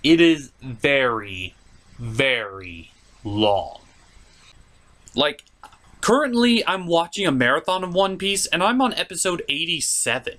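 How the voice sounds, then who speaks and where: A man hosts a comedic review with a forceful, bright delivery. His pitch sits a little high and swings widely, giving his opinionated commentary a theatrical feel. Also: sing-song, slow pace. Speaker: host and anime reviewer.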